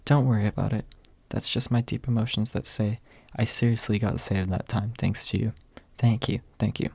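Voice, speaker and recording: A man's voice: fairly low pitch, steady tone, normal speed, gravelly, a little mellow, breathy edge, no room sound. A man voicing a brash but sentimental young man, casual and teasing, in an ASMR audiobook. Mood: heartfelt.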